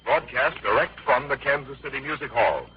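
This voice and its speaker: A man's voice: medium pitch, animated, normal speed. A man working as a radio announcer, delivering polished 1940s sponsor copy in formal, earnest phrases. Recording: dull vintage radio recording.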